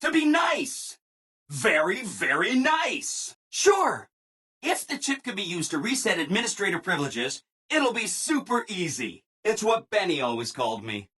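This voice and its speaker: A man's voice: high-pitched, very animated, measured pace, pushed and bright, husky, heavily acted. A man voicing a relentlessly cheerful, eager-to-please robot assistant in a video game, with a perky, over-polite manner. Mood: gleeful, upbeat. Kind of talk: video game character dialogue.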